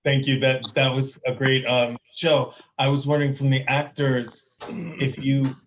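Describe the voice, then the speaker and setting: A man's voice: mid-range voice, level tone, measured pace, background noise. A man in the audience of a video call asking an informal question.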